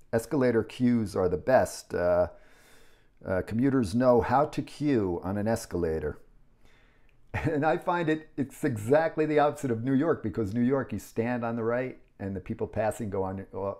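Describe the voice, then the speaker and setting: An American man in his sixties talks casually to camera in a lowish, mellow voice. He reads aloud haltingly, then wanders off into rambling asides of his own. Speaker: vlogger. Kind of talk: casual commentary while reading an article aloud. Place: indoors in a small home kitchen.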